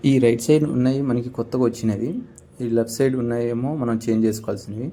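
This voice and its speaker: A man's voice: mid-range voice, fairly even intonation, rapid-fire, warm tone. A young man giving a casual step-by-step gaming tutorial as host.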